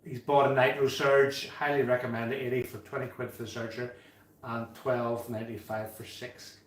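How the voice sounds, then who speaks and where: A man in his fifties hosts a relaxed home-brewing livestream, reading viewer comments aloud and laughing easily. He sits back from the mic, so his voice sounds distant in an echoey room. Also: mid-range voice, normal speed. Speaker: livestream host.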